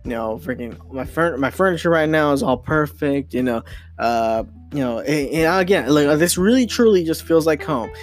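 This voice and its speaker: Young guy rambling on his podcast, kinda high voice, lots of restarts, some faint music under it. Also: normal speed. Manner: rambling, many restarts and fillers, excitable. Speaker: podcast host. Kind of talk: casual solo podcast monologue.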